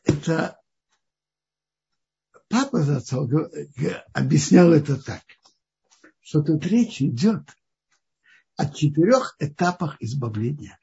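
A man with a very husky, gravelly voice, pitched a little high, teaches the weekly Torah portion. He talks haltingly, thinking aloud and restarting phrases. The recording sounds dull and lo-fi. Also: expressive, dark tone.

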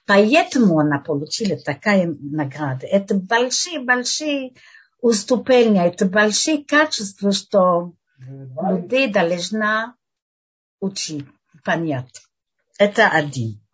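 An older woman whose first language is French, teaching a religious lesson and often pausing to search for words. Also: mid-range voice, animated, husky, lo-fi sound.

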